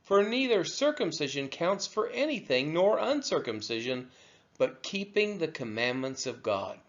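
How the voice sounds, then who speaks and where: A man with a slightly high voice reads scripture aloud. His intonation swings widely, with measured, emphatic phrasing. The recording sounds somewhat dull and band-limited. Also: very animated. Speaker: scripture reader.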